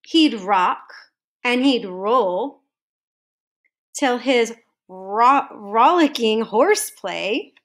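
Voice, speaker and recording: A woman reading a children's storybook aloud to students like a teacher, in a deliberate, sing-song manner with drawn-out phrase endings. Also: a little high, lively intonation, fairly bright tone. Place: home kitchen, indoors.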